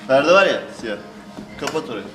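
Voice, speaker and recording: A man's voice: mid-range voice, lively intonation, normal speed, distant mic. A man in casual, practical chat, speaking in brief questions and instructions. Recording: roomy, off-mic, music over it, hum.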